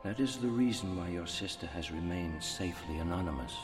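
An actor playing a grave old mentor's spirit in a radio drama, speaking in a measured, formal, admonishing way with ghostly reverb. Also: male voice, sits low. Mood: grave, reflective. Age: older. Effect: long ghostly reverb. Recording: long reverb, music behind.

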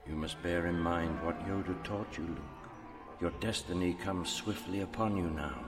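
A solemn, older mentor returned as a spirit in a radio play. His low voice is patient, formal and admonishing. It trails long ghostly reverb, with music swelling behind it. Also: fairly even intonation, moderate pace. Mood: solemn, explaining. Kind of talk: radio drama acting.

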